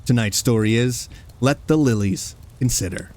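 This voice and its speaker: A man hosting a horror story show, delivering scripted narration in a measured, solemn and portentous manner. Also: medium pitch, darkish tone. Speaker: host introducing horror radio plays.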